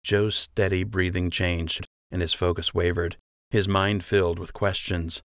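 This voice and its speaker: Guy reading a novel as audiobook narrator, slightly deep, really dry sound. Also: flat delivery, measured pace, fairly bright tone, no room sound. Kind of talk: scripted fiction narration.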